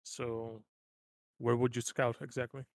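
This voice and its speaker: A man's voice: mid-range voice, level tone, measured pace. A man talking casually as a player in a tabletop roleplaying game.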